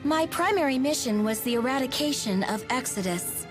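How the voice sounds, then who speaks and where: A haughty female spirit from a fantasy game, with a high voice over prominent music. She speaks in formal, imperious, carefully enunciated phrases, fiercely bound to duty. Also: lively intonation, normal speed. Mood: cold, scornful. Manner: formal, imperious, precise diction. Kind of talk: dramatic video game voice acting.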